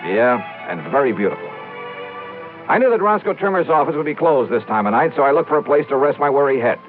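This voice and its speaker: An American actor playing a wry, brisk male insurance investigator in a 1950s radio detective drama, talking in casual patter. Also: medium pitch, very animated, narrow, tinny audio, lightly acted. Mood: wry, breezy. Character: breezy, wry male insurance investigator narrating his case. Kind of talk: 1950s radio detective drama acting, first-person narration. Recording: thin band-limited old radio, music behind.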